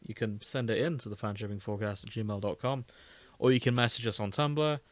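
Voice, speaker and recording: A man's voice: on the low side, fairly quick, dry recording. A man hosting a podcast in a loose, casual chatting style. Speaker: podcast host. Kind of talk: casual chat, loose sign-off.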